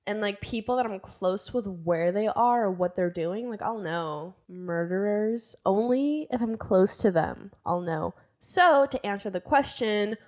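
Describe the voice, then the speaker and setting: A young woman, tipsy and giggly, talking playfully and rambling as a podcast guest, a native Russian speaker. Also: mid-range voice. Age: young adult. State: drunk. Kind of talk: tipsy casual podcast chat.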